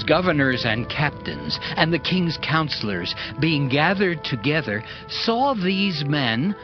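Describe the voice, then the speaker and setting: Slow, solemn male scripture narrator. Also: fairly high pitch. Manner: measured, deliberate phrasing. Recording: dull band-limited audio under loud music. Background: loud dramatic music.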